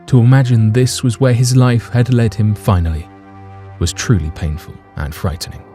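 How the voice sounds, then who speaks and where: A man's voice: mid-range voice, normal speed, mellow, faint music behind. A man narrating a scripted audio drama in a grave, theatrical storytelling manner.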